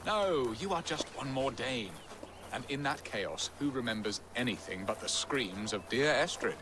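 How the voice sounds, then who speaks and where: Guy doing carefree medieval saxon noble in a game, super lively up and down pitch, flowery theatrical talk, noisy bg. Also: normal speed, lightly acted. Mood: jovial, scheming. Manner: florid, flamboyant phrasing. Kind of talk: dramatic video game cutscene acting.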